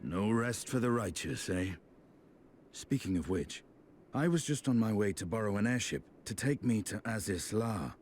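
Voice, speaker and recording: A man's voice: fairly low pitch, expressive, normal speed, darkish tone, faint music behind, lightly acted. A man playing a blunt, teasing, battle-hardened knight in a fantasy game. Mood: wry.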